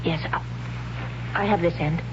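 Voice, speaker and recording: A woman's voice: lowish voice, steady tone, moderate pace, natural voice. An actress playing a brave, wondering young tribeswoman in a 1970s radio drama, speaking solemnly in simple phrases. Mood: hushed, uneasy. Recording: dull, noisy old radio broadcast with hum.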